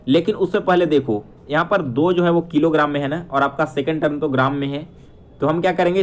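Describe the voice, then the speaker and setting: A man teaching exam questions, explaining answers step by step and checking in with viewers. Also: fairly high pitch. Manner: instructional, addresses viewers directly with frequent check-ins.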